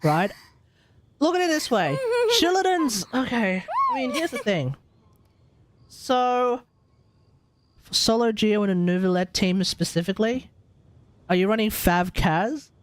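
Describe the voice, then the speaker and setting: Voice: medium pitch, sing-song, measured pace, long pauses, dry recording. A game livestreamer chatting casually and thinking aloud in an informal, conversational way. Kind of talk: casual live stream commentary and chat.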